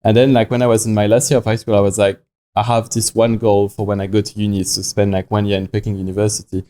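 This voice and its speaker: A young man with a French accent talks in a podcast interview, stumbling and restarting as he works out his thoughts. His voice sits a bit low with a mellow, soft tone. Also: flat delivery. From France. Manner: halting, many restarts and fillers. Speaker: watch company founder.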